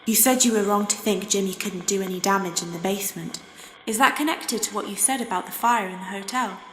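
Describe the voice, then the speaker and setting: Actress as calm, probing medium, echoey. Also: female voice, mid-range voice, brisk, a little hiss or hum, close to an everyday voice. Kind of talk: dramatic horror game acting. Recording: echoey room, faint music behind.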